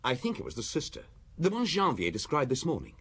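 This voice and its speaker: A man's voice: mid-range voice, wildly swooping pitch, fast talker, a bit bright, slightly rough, full character voice. An actor playing an earnest, bashful young junior detective in a radio drama, hesitant but eager with his chief. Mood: eager report. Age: young adult.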